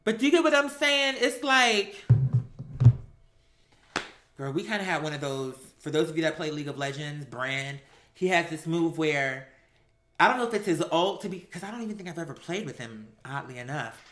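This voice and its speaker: Bright, sassy American live-stream storyteller. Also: mid-range voice, animated, moderate pace. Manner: sassy, emphatic, slangy, acting out remembered exchanges.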